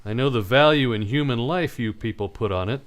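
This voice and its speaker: An actor playing a tough, cynical, impatient private detective in a 1940s-style radio drama, with a curt, sardonic delivery. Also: male voice, medium pitch, fairly quick, close to an everyday voice. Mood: cool, sardonic.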